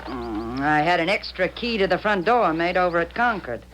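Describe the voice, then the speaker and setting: A woman's voice: on the low side, sing-song, normal speed, a bit bright. An actress playing a tough, grasping old American country woman in a radio drama, with blunt, folksy, drawling speech. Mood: folksy, matter-of-fact. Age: older. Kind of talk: 1970s radio drama acting. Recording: noisy old radio broadcast recording.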